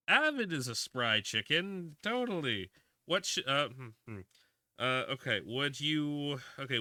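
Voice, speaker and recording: A man's voice: medium pitch, bright, forceful tone, no echo, studio quality. A man in his twenties, streaming a game and chatting casually, rambling with lots of fillers and restarts. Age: 20s. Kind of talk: casual livestream chat while playing a game.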